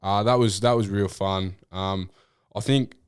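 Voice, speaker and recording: A young Australian man answering interview questions about his football in a relaxed, rambling way, with many fillers and restarts. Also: fairly low pitch, measured pace, clear, resonant tone, no echo, clean studio sound. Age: young adult. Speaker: draft prospect guest. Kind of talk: casual interview.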